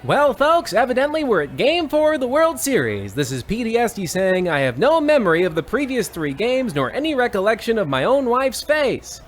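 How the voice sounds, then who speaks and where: Vintage radio baseball commentator, high swooping voice, theatrical, exclamatory, folksy, faint noise behind. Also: male voice, big pitch swings, moderate pace, few pauses. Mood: folksy showmanship. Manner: theatrical, exclamatory play-by-play patter. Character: excitable old-time baseball radio announcer, folksy and absurd. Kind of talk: 1940s radio sports commentary parody.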